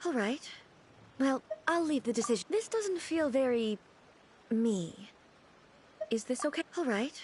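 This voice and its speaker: A woman playing a dignified but naive female ruler deity in a video game, speaking in an earnest, formal and deliberate manner. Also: high-pitched, lively intonation, a little mellow, a little hiss or hum. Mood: self-conscious, hesitant. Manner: formal, measured, puzzled, deliberate. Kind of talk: video game character dialogue. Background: background game music.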